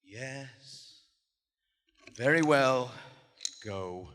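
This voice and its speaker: An actor playing a nervous, loyal gentleman who narrates a horror tale in formal, florid style for a live radio play. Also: mid-range voice, expressive, drawn-out words, slight background noise. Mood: resigned. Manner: formal, florid, dramatic delivery. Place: on stage in a large theater. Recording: live theater hall reverb, music behind. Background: music.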